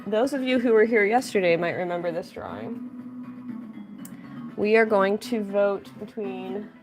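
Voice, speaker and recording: A young woman chats through a relaxed livestream while she draws, upbeat and friendly as she reads out and answers viewer messages. Her voice sits at an ordinary middle pitch. Soft music plays faintly behind her. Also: unhurried. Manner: chatty, upbeat, thanks viewers and reads chat aloud. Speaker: livestreaming artist. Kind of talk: casual livestream chat.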